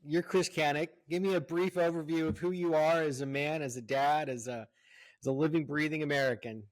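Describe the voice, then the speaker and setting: Casual higher-voiced male host. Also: steady tone, rough recording. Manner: casual, reacts with quick exclamations. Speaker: podcast host. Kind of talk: casual interview.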